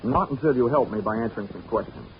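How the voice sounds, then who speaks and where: Casting: a man as a dry, quick-witted insurance detective, lively delivery, muffled hissy 1940s radio tone. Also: mid-range voice, lightly acted. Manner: dry, wisecracking. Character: wisecracking male insurance investigator. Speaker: insurance investigator, narrator. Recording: dull old radio recording with hiss.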